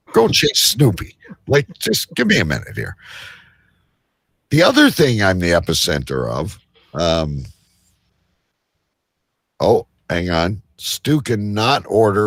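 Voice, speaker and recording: A man hosting a live show in rambling, casual banter, halting at times and then suddenly emphatic. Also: deep voice, sing-song, a bit bright, husky, dry recording, clean studio sound. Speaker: host of a live online show.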